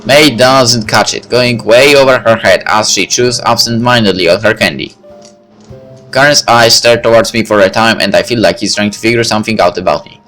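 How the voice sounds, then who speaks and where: Male narrator for a visual novel read-through, lowish pitch, casual asides, soft music underneath. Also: normal speed, poor audio. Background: background music.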